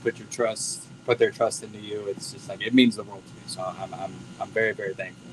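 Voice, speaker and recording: An American drummer talks in a soft, humble, grateful way with a somewhat low voice. Background noise runs steadily behind him. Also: male voice, fairly even intonation, fast talker, rough recording. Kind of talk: casual interview. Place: bowling alley venue.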